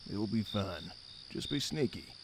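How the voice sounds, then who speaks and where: A man's voice: fairly low pitch, expressive, measured pace, noisy background. A man playing an easygoing, slightly clueless dockworker in an audio drama, speaking in a casual, plain way. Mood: casual, matter-of-fact. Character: easygoing male dockworker, loyal but a bit clueless. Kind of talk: scripted audio drama acting.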